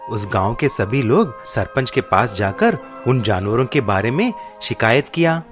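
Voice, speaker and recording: A man's voice: medium pitch, expressive, a little slow, over music. A man reading a scripted children's story as narrator.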